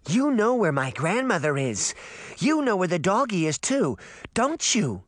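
A man voicing an unhinged little girl who speaks slowly and dreamily. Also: high voice, big pitch swings, dry recording, voice pushed up. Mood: menacing. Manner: slow, dreamy and insistent.

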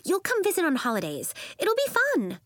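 Woman playing gently chiding storybook relative, high voice, soothing, coaxing, placating, animated pitch. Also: a little fast, no room sound, exaggerated voice.

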